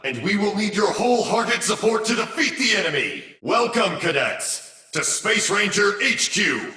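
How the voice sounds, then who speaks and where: A man's voice: sits high, sing-song, moderate pace, pushed and bright, reverberant, distant mic, heavily acted. A man playing a bombastic, gung-ho space ranger commander, giving a rousing, theatrical mission briefing in a sci-fi game. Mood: rousing, heroic. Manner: rousing, grandiose.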